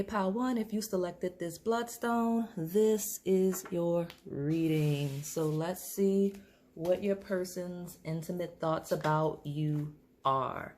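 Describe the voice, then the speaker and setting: A woman, slightly hoarse, chatting casually through a tarot reading to camera, with lots of fillers and restarts. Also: on the low side, dark tone, slight background noise. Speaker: tarot reader.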